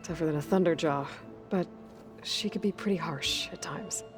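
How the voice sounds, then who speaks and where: A guarded young American huntress from a video game, acted by a woman. She speaks in a slightly low voice, understated and serious, keeping her sentences short. Music plays clearly behind her. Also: normal speed, close to an everyday voice. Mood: reserved, caring. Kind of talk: naturalistic video game acting.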